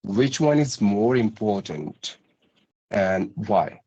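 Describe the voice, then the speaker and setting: A man's voice: on the low side, sing-song, takes it slowly, darkish tone, husky. A man interviewing a learner for spoken practice, asking long questions with hesitant fillers. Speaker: English teacher interviewing a learner.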